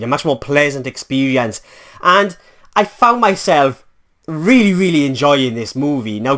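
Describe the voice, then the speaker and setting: A man's voice: on the high side, a bit bright. A man from Wales with a Welsh accent, giving a casual spoken film review in a chatty, rambling way with many fillers and self-corrections.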